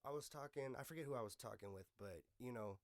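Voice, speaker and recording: An American man with a medium-pitched voice hosts a casual podcast chat. He talks in loose street slang, swears freely and feels his way through his thoughts in a halting flow. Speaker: podcast host.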